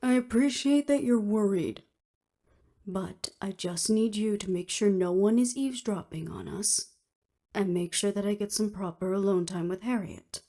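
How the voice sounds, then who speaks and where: A woman's voice: mid-range voice, moderate pace, mellow, natural voice. A woman acting in an audio roleplay as an earnest, weary official who speaks formally and seriously. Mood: weary, resolute.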